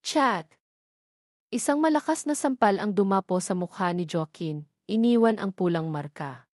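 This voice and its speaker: A woman narrating a romantic drama at a steady, even storytelling pace. Her voice sits at a middle pitch. It is recorded close and completely dry, with no room sound. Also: normal speed, no room sound. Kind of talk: scripted audio drama narration.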